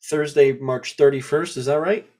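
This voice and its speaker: A man's voice: a little high, steady tone, moderate pace, a little mellow. A man hosting a podcast interview, asking long, rambling questions full of fillers in an eager way. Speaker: podcast host. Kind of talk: casual interview talk.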